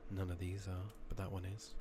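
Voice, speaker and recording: A soft-spoken man giving ASMR game commentary, often dropping to a whisper, murmuring unhurriedly to himself. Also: sits low, monotone, a little fast, noisy background. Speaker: ASMR gaming streamer. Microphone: USB condenser microphone on a tripod with pop filter.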